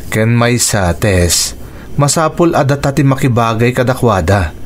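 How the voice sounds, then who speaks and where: An actor playing an earnest husband in a radio drama, pleading and explaining with a northern Philippine accent. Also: male voice, mid-range voice, expressive, a little slow, a little hiss or hum, natural voice. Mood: defensive. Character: earnest husband defending his elderly parents.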